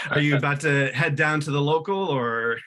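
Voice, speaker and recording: A man's voice: on the high side, a little slow, pushed and bright. A middle-aged man speaking as a podcast host, introducing and interviewing a guest in a friendly way.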